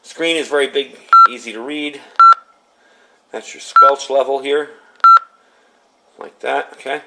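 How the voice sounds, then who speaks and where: A man's voice: mid-range voice, fairly even intonation, a little slow, very noisy. A man giving a casual, unscripted product demonstration in a plain-spoken, explanatory, conversational way. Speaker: product reviewer.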